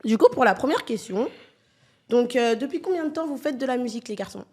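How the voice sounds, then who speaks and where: A woman's voice: on the high side, expressive, quick pace, a little mellow, slightly rough. A woman of twenty-one hosting her own podcast in casual, playful chat, teasing her guests and laughing easily. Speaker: podcast host.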